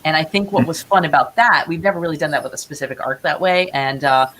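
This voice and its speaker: Female interview guest, playwright. Low register, effusive and halting delivery, some noise behind her. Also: a bit bright, rough recording. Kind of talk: animated interview conversation.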